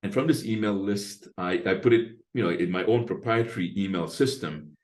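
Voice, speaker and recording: A man in his sixties with a deep bass voice, talking at length as an interview guest and explaining his approach point by point. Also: a little fast, darkish tone. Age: 60s. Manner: talkative, explains with lists and asides. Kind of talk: casual interview talk.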